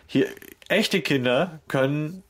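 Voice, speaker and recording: A man's voice: on the high side, slow, a bit bright, breathy edge. Casual man retelling a story in slang. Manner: colloquial, crude slang, vivid retelling. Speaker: podcast host. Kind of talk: casual chat retelling an audio drama episode.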